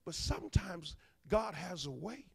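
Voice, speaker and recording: Man in his forties, pulpit preacher on a handheld mic, fairly high voice, booming then hushed, ribbing the pews, long church-hall echo. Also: a little slow, vocal fry, slightly rough. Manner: animated preaching, bursts loud then drops soft, teasing the congregation. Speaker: minister. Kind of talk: sermon. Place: large church sanctuary.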